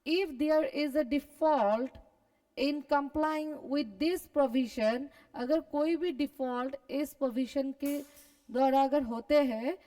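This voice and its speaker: A woman's voice: high voice, drawn-out words, warm tone. A woman with an Indian accent teaching a class, switching between Hindi and English, dictating and then explaining by repeating points and answering her own questions. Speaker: teacher of company law classes. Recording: voice distant from the microphone.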